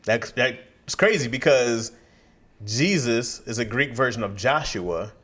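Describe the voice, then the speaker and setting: A male podcast co-host whose pitch jumps around a lot as he talks. He chats casually in a mid-range voice and acts out the back-and-forth of stories he tells. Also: slow pace. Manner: animated, acts out quoted exchanges. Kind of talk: casual chat.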